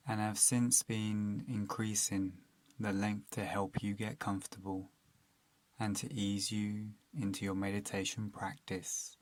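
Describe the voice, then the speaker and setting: Male meditation guide, extremely slow pace, soothing and calm, fairly even intonation, long pauses. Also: fairly low pitch, dry recording.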